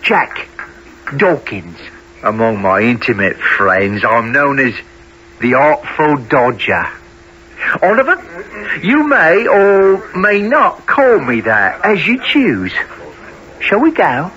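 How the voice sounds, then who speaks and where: A man's voice: fairly high pitch, very animated, slow pace, pushed and bright, heavily acted. A man playing the Artful Dodger, a cocky, friendly teenage London pickpocket who talks in swaggering slang, in a radio play. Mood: brisk, swaggering. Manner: slangy, swaggering, mock-genteel. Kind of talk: family radio play acting. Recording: dull lo-fi with faint noise.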